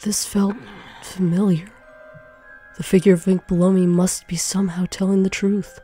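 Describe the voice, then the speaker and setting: A woman narrating a suspenseful first-person story in a dramatic, deliberate way. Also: mid-range voice, little pitch movement, dark tone, faint music behind. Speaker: fan fiction narrator. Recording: faint background noise.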